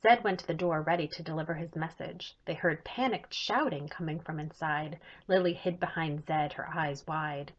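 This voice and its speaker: A woman in her thirties reading a children's story aloud in an expressive way, as an author would. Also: sits low, normal speed, few pauses. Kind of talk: storybook read-aloud, scripted narration. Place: small home room, indoors. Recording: dull lo-fi webcam audio.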